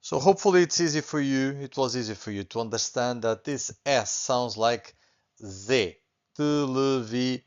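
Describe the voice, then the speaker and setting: Middle-aged Portuguese man with an accent, patient beginners' teacher, mid pitch, slightly dull lo-fi sound. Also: normal speed, a little mellow, clean tone. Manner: patient, reassuring, repeats points, often adds okay. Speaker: language teacher. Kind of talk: online language lesson.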